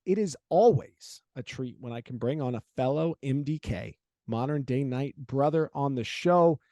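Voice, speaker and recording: A man's voice: mid-range voice, normal speed, mellow, dry recording. A man hosting a podcast, chatting casually and energetically, swearing now and then and laughing often. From Louisiana, USA. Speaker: podcast host. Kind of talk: casual interview chat.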